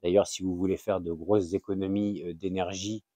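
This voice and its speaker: A man giving an informal, unscripted explainer as a video host, halting and often searching for words. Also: low voice, little pitch movement, warm tone, no echo. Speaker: YouTube channel host sharing a tip.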